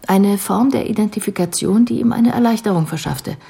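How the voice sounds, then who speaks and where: An actress playing a composed female care professional in a radio drama, explaining a patient's case in a measured, analytical way. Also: medium pitch, creaky, dark tone, breathy edge. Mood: calm, reflective.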